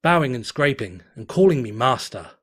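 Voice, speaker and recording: A man's voice: medium pitch, big pitch swings, normal speed, breathy edge. A man voicing a cautious, puzzled stallion explorer who speaks plainly and hesitantly. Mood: bemused.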